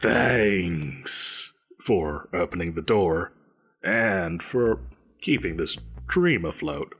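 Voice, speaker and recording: A man's voice: low-pitched, big pitch swings, drawn-out words, vocal fry, a bit bright, slightly rough, full character voice. A man playing a petulant, theatrical supervillain boss in a comedic audio roleplay, with a pompous, melodramatic manner. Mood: sheepish, resigned. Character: petulant, theatrical male supervillain boss, grandiose but exasperated.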